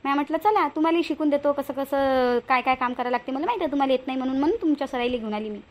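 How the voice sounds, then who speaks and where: A woman plays a bossy but cautious village woman from a moral-story cartoon. Her voice is high and she talks briskly. The rural Vidarbha accent is homely and colloquial, full of questions. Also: close to an everyday voice. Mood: modest, explaining. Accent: rural Vidarbha Marathi.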